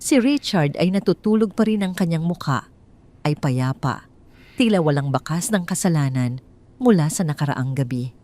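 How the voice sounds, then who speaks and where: A woman's voice: low-pitched, animated, normal speed, dark tone. A woman reading a scripted dramatic story aloud as its narrator.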